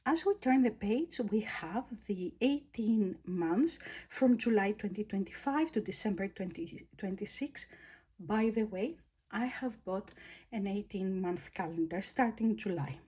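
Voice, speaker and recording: A woman with a Greek accent, English her second language, casually reviewing a planner and pausing to search for words. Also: medium pitch, lively intonation, mellow, husky. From Greece. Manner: slow, thoughtful, searching for words. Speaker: planner vlogger.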